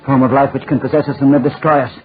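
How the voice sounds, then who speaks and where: A man's voice: mid-range voice, fairly even intonation, racing, lightly acted. An actor playing a tormented, paranoid old man in a melodramatic 1940s radio drama style, repeating himself desperately. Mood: frantic, terrified. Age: older. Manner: fraught, melodramatic, repeats phrases. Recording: scratchy, noisy, tinny 1940s radio recording.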